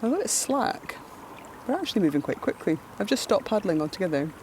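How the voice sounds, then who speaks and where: A Scottish woman talking in a relaxed, reflective way while floating down a river outdoors. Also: medium pitch, fast talker, noisy background. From Scotland. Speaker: presenter, endurance cyclist. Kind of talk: casual outdoor narration.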